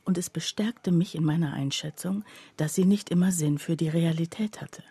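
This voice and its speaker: An actress playing a skeptical woman confiding about her friend in a radio play, her voice husky and breathy and her delivery hushed. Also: fairly low pitch, normal speed, no room sound. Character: skeptical female friend recounting her friend's odd behaviour. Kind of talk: literary radio play narration.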